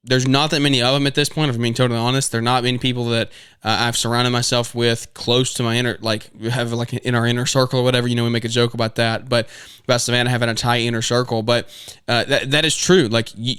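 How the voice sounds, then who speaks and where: A man's voice: medium pitch, a bit bright, clean tone, dry recording, clean studio sound. A man in his twenties hosting a podcast, giving casual advice in an earnest, rambling way with many restarts and fillers. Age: 20s. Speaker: podcast host.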